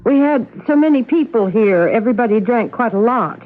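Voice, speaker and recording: An actress in a vintage radio play voicing a gentle, fretful middle-aged mother, fond and coaxing, a little hesitant. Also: a little high, expressive, a little fast, a little hiss or hum, lightly acted. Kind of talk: old-time radio drama acting. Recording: narrow muffled vintage radio recording.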